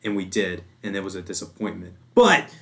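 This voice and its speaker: A man's voice: low-pitched, sing-song, a little fast, pushed and bright. An American man chatting casually and informally as a podcast guest, with a self-deprecating manner. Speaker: podcast guest, games journalist. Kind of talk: casual chat.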